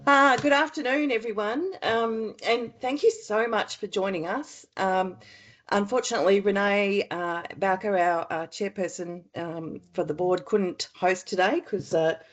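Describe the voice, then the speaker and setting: An Australian woman with a husky head-cold voice, chairing a webinar in a chatty way with many ums and uhs. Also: medium pitch, unhurried. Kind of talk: webinar opening remarks. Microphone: computer mic on a video call.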